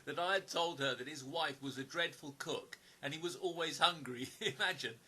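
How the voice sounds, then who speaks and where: An actor playing a polite, wistful writer with gentle wry humour in an audio play, sometimes hesitant. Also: male voice, a little high, moderate pace, slightly rough. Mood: amused, reminiscing.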